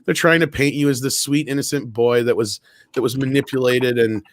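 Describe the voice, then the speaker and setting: A man's voice: medium pitch, fairly even intonation, fairly quick, no echo, clean studio sound. A man talking casually on a panel show, chatty and rambling, with a jokey streak. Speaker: online talk show panelist.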